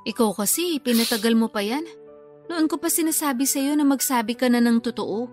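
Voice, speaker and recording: A woman's voice: a little high, brisk, a little mellow, faint music behind, close to an everyday voice. A woman with a Filipino accent playing a blunt, reproachful but caring younger sister in a radio drama. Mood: scolding. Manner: frank, reproachful.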